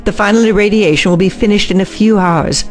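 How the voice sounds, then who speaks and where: A woman performs an ambitious scientist in a sci-fi radio play. She speaks formally and articulately at a medium pitch, with music playing behind. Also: fairly quick, natural voice. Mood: excited. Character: excited, ambitious female scientist. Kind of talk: science fiction audio drama acting.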